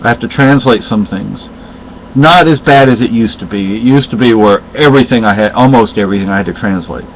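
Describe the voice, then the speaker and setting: Middle-aged man, rambling casual chat, halting restarts, dull lo-fi recording, faint background hiss. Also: lowish voice. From Spain. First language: Spanish. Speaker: storyteller in casual conversation.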